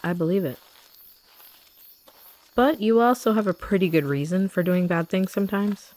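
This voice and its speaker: A woman playing a guilt-ridden young monster hunter turned gentle rebel in a roleplay audio drama, softly confiding in the listener. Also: mid-range voice, moderate pace, lightly acted, low-quality recording. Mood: remorseful, tender. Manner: soft, confiding, addressing the listener directly.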